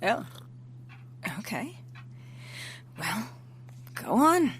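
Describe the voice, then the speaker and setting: A woman's voice: fairly high pitch, big pitch swings, drawn-out words, a bit bright, slight background noise, exaggerated voice. A woman in an audio drama playing a skeptical, wry listener with a casual, questioning way of talking. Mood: puzzled. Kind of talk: naturalistic audio drama dialogue.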